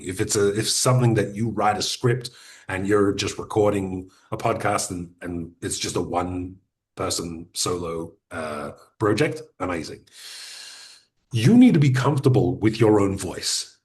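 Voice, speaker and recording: A man teaching a podcasting workshop in a conversational way, speaking deliberately, using pauses and sometimes restarting his sentences. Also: on the low side, lively intonation. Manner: addresses audience directly with practical advice.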